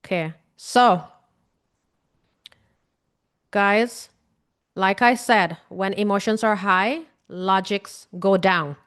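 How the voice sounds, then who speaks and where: A woman from Guyana with a Guyanese accent talks straight to her audience as a healing coach. She is earnest and repetitive, circling back to her points and asking her listeners rhetorical questions. Her pitch sits in the middle of the usual range for a woman. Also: extremely slow, pauses often, fairly bright tone, smooth. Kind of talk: casual direct-to-camera talk.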